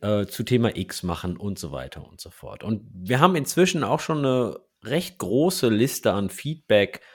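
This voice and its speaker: A German man co-hosting a podcast, chatting casually and telling lively anecdotes. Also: mid-range voice. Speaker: podcast co-host.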